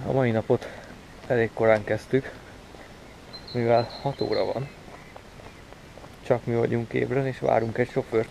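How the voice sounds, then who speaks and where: A man's voice: medium pitch, gravelly. A young man giving a casual vlog update outdoors. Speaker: vlogger filming behind the scenes. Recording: noisy outdoor camera audio.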